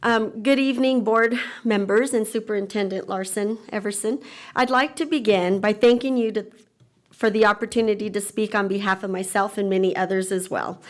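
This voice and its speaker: A woman teacher stands at the podium and reads her prepared statement to the board. Her delivery is earnest, measured and formal, pitched in an ordinary middle range. It is picked up by a meeting-room microphone. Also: steady tone. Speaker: teacher speaking at public comment. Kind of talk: reading a prepared public comment.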